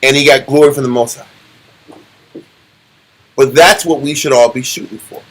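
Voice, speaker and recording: A middle-aged man leading a Bible study, teaching in an animated, preachy way and often repeating himself. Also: medium pitch, moderate pace, poor audio.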